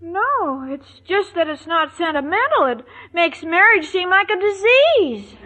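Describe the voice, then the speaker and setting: A woman's voice: extremely high pitch, big pitch swings, a little slow, pushed and bright, exaggerated voice. A woman playing a sweet, dim-witted young bride-to-be with an earnest, guileless manner in a 1940s radio comedy. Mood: naive protest. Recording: muffled, very noisy old radio broadcast, audience.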